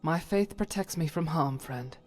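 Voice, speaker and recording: A woman playing a devout, stern holy warrior in a video game, speaking in solemn, formal, measured phrases. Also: sits low, darkish tone, soft background music. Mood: calm, firm. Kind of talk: scripted video game character dialogue.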